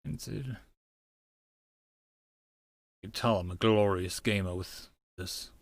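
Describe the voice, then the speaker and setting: A man's voice: lowish voice, takes it slowly, gravelly, no room sound. A man reading a visual novel aloud as he plays, with casual muttered asides. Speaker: narrator and game player.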